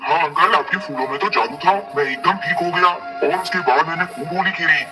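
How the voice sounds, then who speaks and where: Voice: medium pitch, fairly quick, recorded from a distance, over music, lightly acted. A performer voicing a cheerful little boy bird in a Hindi cartoon. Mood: grateful, cheerful. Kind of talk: Hindi moral-story cartoon dubbing.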